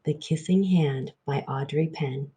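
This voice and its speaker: A woman's voice: low-pitched, measured pace, warm tone, slight background noise. A woman storyteller reading aloud expressively for young children.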